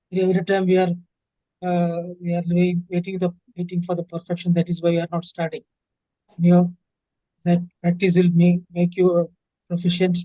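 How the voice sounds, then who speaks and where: Very high, monotone Indian man, dark tone. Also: no echo. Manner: halting, repeats phrases. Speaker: speech evaluator in a webinar. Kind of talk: instructive feedback speech. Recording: dull online meeting audio.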